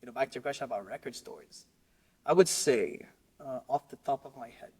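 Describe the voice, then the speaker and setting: A man from Lebanon who grew up in Qatar, talking casually as a podcast guest in a halting, rambling way, repeating words as he searches for phrasing. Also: medium pitch, a little mellow, slightly rough. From Lebanon, raised in Qatar. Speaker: musician interview guest.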